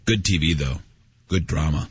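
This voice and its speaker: A man's voice: very deep voice, moderate pace, a little hiss or hum. A man hosting a casual chat, incredulous and riffing on what others said. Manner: incredulous, riffing with mock quotes. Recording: dry, dull lo-fi podcast audio.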